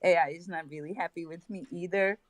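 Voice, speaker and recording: Upbeat Dutch-accented woman, low voice. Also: fairly even intonation, brisk, no echo. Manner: upbeat, laughs often, hype slang. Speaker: co-host of a crypto livestream. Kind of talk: casual market commentary.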